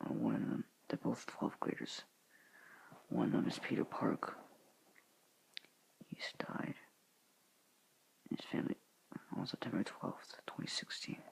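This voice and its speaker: Teen guy whispering to his viewers, halting and earnest, pitch all over the place. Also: big pitch swings, creaky, slightly rough, rough recording. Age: teens. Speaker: student vlogger. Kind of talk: whispered somber address to viewers.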